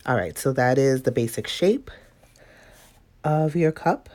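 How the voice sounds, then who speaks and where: A woman's voice: extremely low pitch, expressive, unhurried, a little mellow. Sniffly woman chatting through a painting lesson. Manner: chatty, laughs often, talks to viewers. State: stuffy nose, sniffly. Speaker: watercolor painting instructor. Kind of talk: casual live tutorial talk.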